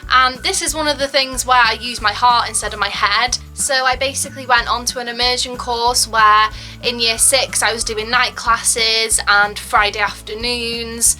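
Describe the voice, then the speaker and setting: A woman's voice: a little high, steady tone, moderate pace, pushed and bright, faint music behind. A young woman in her twenties chatting casually to camera, full of "like" and "um", acting out quoted lines. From Wales. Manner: chatty, frequent "like" and "um", acts out quotes. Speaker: vlogger.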